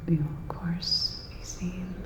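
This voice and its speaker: A woman playing a calm, attentive part-cyborg robot repair technician in an ASMR roleplay, whispering breathily in a soft, reassuring, clinical way. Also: lowish voice, slow pace. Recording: dull, echoey, long reverb.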